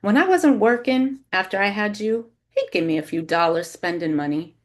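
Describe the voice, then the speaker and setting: A woman's voice: mid-range voice, animated, moderate pace, pitched above the performer's own voice, close to an everyday voice. A woman voicing a chatty, blunt older mother who monologues over the phone in an audiobook-style story reading. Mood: complaining. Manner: brash, monologuing, interrupting.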